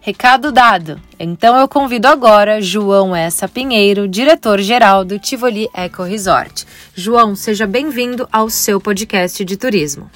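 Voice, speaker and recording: A woman hosting a travel podcast, reading her script in a clear, inviting way. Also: mid-range voice, moderate pace, few pauses, a bit bright, no echo, soft background music. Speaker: podcast host.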